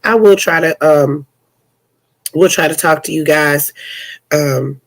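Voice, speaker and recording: Sassy, chatty stuffy-nosed podcast host. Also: female voice, low voice, slow, low-quality recording. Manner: sassy and chatty, addresses listeners as players and honey. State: stuffy from a cold. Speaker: podcast host and news commentator. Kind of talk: casual news commentary podcast.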